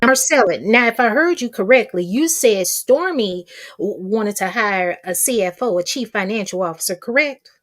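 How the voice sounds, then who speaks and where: A woman's voice: mid-range voice, expressive, moderate pace, few pauses. A woman hosting a casual gossip chat, prompting her guest in a colloquial way. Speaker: host.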